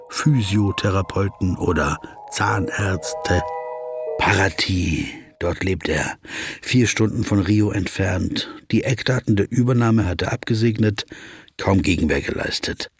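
An actor playing the sardonic inner voice of an overthinking male corporate lawyer, talking to himself in a radio drama. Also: low-pitched. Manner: sardonic, self-addressing. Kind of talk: second-person inner monologue in a radio drama. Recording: music clearly behind the voice. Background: music.

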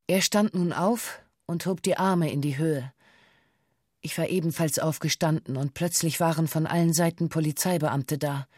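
Low-key formal witness, dry studio. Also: female voice, darkish tone, no room sound. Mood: sober, factual. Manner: formal, deliberate statement-like delivery. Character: former hostage giving sober witness testimony of a bank siege. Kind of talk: scripted documentary radio drama monologue.

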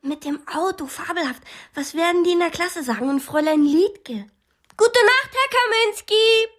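A woman's voice: extremely high pitch, expressive, moderate pace. An actress playing an eager, bossy schoolgirl in a German radio drama, play-acting with her sister. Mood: excited, curious.

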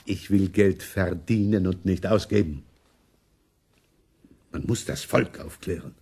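A man's voice: low-pitched, measured pace, darkish tone, slightly rough, full character voice. A man acting a money-minded, imperious German prince in a radio play, sardonic and complaining in manner. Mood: aggrieved.

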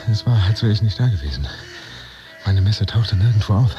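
A man acting a sardonic employee in a modern radio play. His voice is fairly low and he tells it theatrically, over clearly audible music. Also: lively intonation, fairly quick, muffled recording, natural voice. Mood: agitated. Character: sardonic employee narrating a corporate theatre of layoffs. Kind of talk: modern German radio drama monologue.

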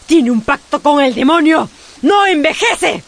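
An actress playing a bitter, scornful, ruined woman of the opium den in a radio drama, with a husky, creaky voice. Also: high voice, animated, measured pace, full character voice.

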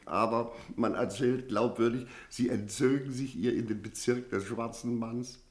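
An actor playing a talkative, wry middle-aged village innkeeper who deals with smugglers, in a theatrical German radio play style. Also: male voice, medium pitch, steady tone, normal speed, lightly acted. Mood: probing. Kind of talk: German radio play acting and first-person narration.

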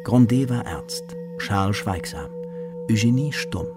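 A man's voice: on the low side, lively intonation, a little slow. A man narrating a literary radio drama in a scripted storytelling style, with music behind him. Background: music with ringing tones.

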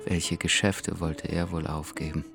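A man's voice: low voice, level tone, unhurried, creaky, music in the background. An actor in a radio drama playing a hesitant, brooding man who muses to himself. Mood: reserved, polite.

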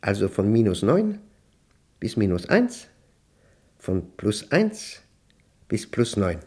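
A man acting an obsessive, unravelling professor who dictates his diary in a German radio play, with emphatic, deliberate diction and short clipped phrases. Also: on the low side, wildly swooping pitch, warm tone, slightly rough, exaggerated voice. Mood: commanding, obsessive. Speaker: radio drama actor.

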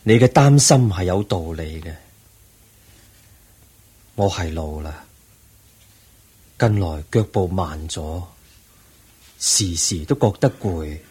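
An actor playing an aged, serene Buddha in a Cantonese radio drama, with a Hong Kong accent and a solemn, measured, husky delivery. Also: male voice, low-pitched, expressive, dark tone, heavily acted. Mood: weary, accepting. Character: aged, serene Buddha, eighty, weary yet calm teacher.

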